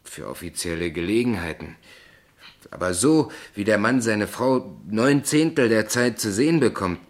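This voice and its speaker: Radio play naive small-town poet: man, lowish voice, earnest, halting, stubbornly proud. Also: lively intonation. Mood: awkward, hesitant. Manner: earnest, hesitant, stubborn when challenged.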